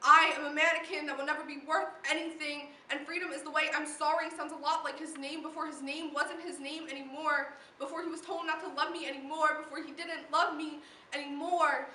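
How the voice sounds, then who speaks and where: A woman's voice: high voice, flat delivery, brisk, few pauses, pushed and bright. A teenage young woman performing slam poetry in a dramatic, emphatic recitation that builds through long lists. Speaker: slam poet. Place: live poetry event, recorded from a distance. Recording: distant echoey room recording with noise.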